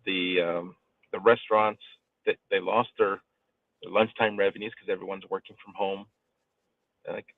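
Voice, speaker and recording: Thin, phone-like audio carries a man with a fairly low voice. He explains things conversationally in measured, deliberate phrases. There is almost no room sound. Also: no echo. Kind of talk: explanatory casual talk.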